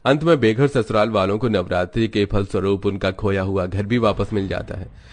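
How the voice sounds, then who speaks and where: Low-pitched male story narrator. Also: moderate pace, a little mellow. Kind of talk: scripted narration of moral story.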